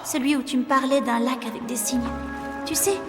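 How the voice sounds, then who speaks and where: A woman's voice: high-pitched, normal speed. A woman voicing a wistful, affectionate schoolgirl in a cartoon. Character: wistful, affectionate schoolgirl missing her friend. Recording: loud music behind the voice.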